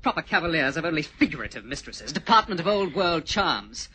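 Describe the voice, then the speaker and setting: Man doing a bitter sneering young englishman, mocking, fairly high lively voice, faint hiss. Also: fairly quick. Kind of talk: stage drama acting.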